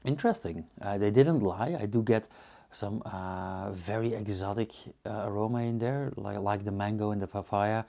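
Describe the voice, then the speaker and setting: A man with a Belgian accent giving casual, unscripted tasting commentary as a reviewer, pausing to muse and search for words. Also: fairly low pitch, vocal fry, dark tone. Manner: hesitant, musing, searching for words. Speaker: beer reviewer and host.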